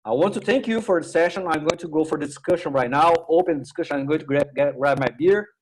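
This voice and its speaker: A man from Brazil with a Brazilian accent and Portuguese as his native language, giving an informal online tech presentation in a halting, repetitive way with "right?" tags. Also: on the high side. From Minas Gerais, Brazil. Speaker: technical presenter and workshop instructor.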